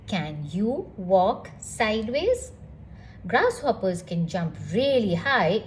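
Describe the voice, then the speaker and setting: A woman reading a picture book aloud to children in an expressive, engaging storytelling style. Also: mid-range voice, slight background noise. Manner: animated, addresses child listeners with questions.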